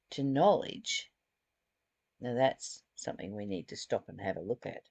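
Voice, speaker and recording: A woman giving an informal spiritual lesson, reading aloud deliberately and adding chatty explanations of her own. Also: a bass voice, darkish tone, breathy edge, no room sound. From Australia. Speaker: spiritual study host reading and explaining a book.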